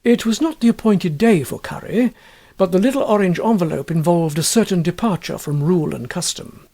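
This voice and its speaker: A man's voice: high-pitched, fairly quick, mellow. A man reading a comic literary short story as scripted narration. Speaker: audiobook reader.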